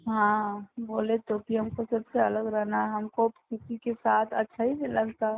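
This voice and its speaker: A young woman talks privately over a thin, narrow phone line. Her voice is slightly high and stays flat, with a subdued manner. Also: measured pace, vocal fry, no room sound. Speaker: ex-girlfriend on a call. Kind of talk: intimate phone conversation.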